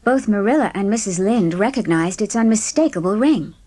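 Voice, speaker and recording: A woman's voice: medium pitch, lively intonation, brisk, mellow, no room sound. A woman reading scripted narration for a dramatised classic audiobook.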